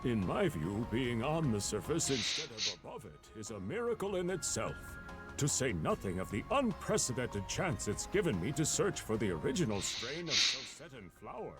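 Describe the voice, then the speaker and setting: A man's voice: medium pitch, sing-song, moderate pace, over music, natural voice. A man voicing a courteous, eager scholar in a video game, speaking formally and earnestly, full of wonder.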